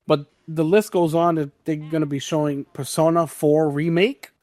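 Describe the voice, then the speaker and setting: A man's voice: on the high side, fairly even intonation, moderate pace, darkish tone, dry recording, clean studio sound. A man hosting a podcast and steering the topics in casual gaming news chat.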